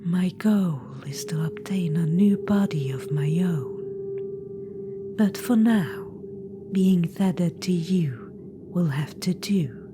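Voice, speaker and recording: A woman's voice: lowish voice, slow. A woman whispering as a scheming witch-queen spirit. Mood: coaxing whisper. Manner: condescending, teasing, commanding. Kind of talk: ASMR audio roleplay. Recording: clear background noise.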